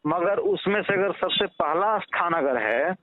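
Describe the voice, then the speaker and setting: A man's voice: sits high, lively intonation, measured pace, noisy background. A man with a Bihari Hindi accent, speaking earnestly and persuasively in a casual, respectful phone conversation. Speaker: father appealing to a reporter. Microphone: telephone. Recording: tinny, narrow-band phone call recording.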